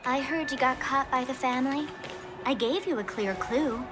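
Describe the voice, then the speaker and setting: A woman's voice: high-pitched, moderate pace, over music, lightly acted. A woman voicing a mischievous, mocking masked trickster in a video game, with theatrical, taunting delivery.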